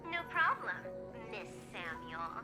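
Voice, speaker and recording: A woman's voice: on the low side, big pitch swings, slow pace. A woman playing a teasing, cool young female hacker in a video game. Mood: playful. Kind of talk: scripted video game voice acting. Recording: music under the voice.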